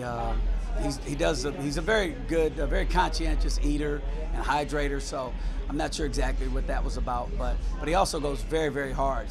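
A man in his fifties coaching basketball talks through a post-game press conference in a small indoor locker room, candid and halting, circling back over his words. His voice sits mid-range for a man, picked up by a microphone set in front of him. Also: medium pitch. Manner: candid, halting, many restarts and fillers. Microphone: table microphone in front.

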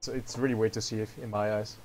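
A man's voice: fairly low pitch, flat delivery, quick pace, darkish tone, faint music behind. A man casting esports in a chatty style, often laughing with his co-caster. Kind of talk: esports commentary.

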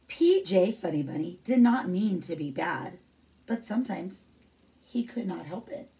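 Middle-aged female teacher for storybook read-aloud, low creaky voice, picked up from a little way off. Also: very animated, a little slow, mellow, distant mic, a little hiss or hum, poor audio. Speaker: teacher reading a picture book aloud.

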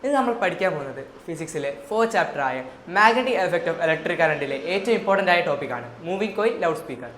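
A woman's voice: fairly low pitch, lively intonation, fast talker, hardly any pauses, fairly bright tone. A woman with a Malayali accent tutoring physics, explaining step by step as in a school lesson. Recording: echoey room with faint noise.

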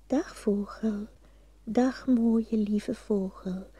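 A woman playing a tiny, tender-hearted girl in a fairy tale, speaking softly and hesitantly. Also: medium pitch, steady tone, drawn-out words, husky, no echo.

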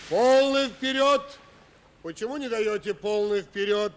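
An actor playing a bumbling, self-satisfied sea captain in a Soviet radio play, pompous and boastful. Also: male voice, sits high, measured pace, noisy background. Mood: blustering. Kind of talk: Soviet radio play acting with comic song.

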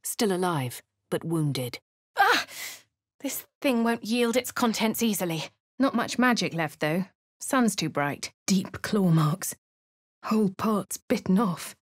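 A woman voicing a posh, plucky young adventurer with a southern English accent, speaking crisply and chanting spells. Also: medium pitch, very animated, slow, dry recording. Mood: composed. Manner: chants spell incantations loudly. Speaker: player character voice. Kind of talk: video game voice lines. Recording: dry studio recording.

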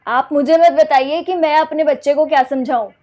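High-pitched scolding young woman. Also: steady tone, moderate pace, a little mellow. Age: 20s. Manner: scolding, indignant, talking over the other person. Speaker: prank caller. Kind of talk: prank phone call, playing an indignant mother. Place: small curtained room indoors. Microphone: clip-on lapel mic.

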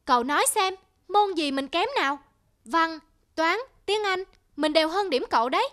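A woman's voice: very high-pitched, animated, moderate pace, a bit bright. A woman voicing a boastful, competitive little schoolgirl in a cartoon. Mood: smug. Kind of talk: cartoon dialogue.

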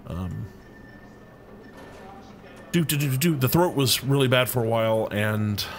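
A man's voice: medium pitch, expressive, a little slow. A man hosting a game let's play, rambling as he thinks aloud and humming to himself, his voice a bit scratchy from being ill. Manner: rambling, thinks aloud, hums filler tunes. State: recovering from illness, a bit scratchy. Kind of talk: casual gameplay commentary.

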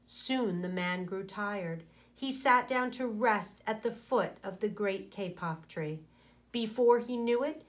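Slow, deliberate woman teacher reading aloud. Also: mid-range voice. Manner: deliberate, pausing, clearly phrased. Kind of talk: read-aloud for children, explanatory.

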